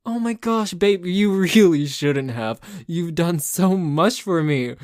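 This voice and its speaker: A man's voice: high voice, expressive, slow, no room sound, studio quality. A man playing a possessive, demanding yet playful yandere boyfriend in an intimate roleplay monologue, with theatrical delivery. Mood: gushing, mock surprise. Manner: theatrical, swinging between gushing and soft menace.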